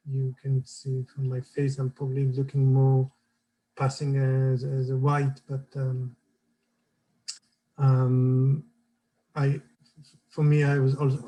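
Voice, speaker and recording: Panelist: Israeli-accented man, slow and halting, flat mellow delivery. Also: medium pitch, poor audio. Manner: hesitant, searching for words. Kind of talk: personal account on a panel.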